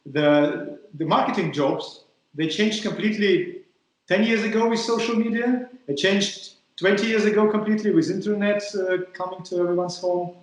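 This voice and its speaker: A man's voice: sits high, steady tone, normal speed, heavy reverb, low-quality recording. A man from Russia with a Russian accent, hosting an interview over a call, hesitant and repeating words as he searches for phrasing. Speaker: podcast host.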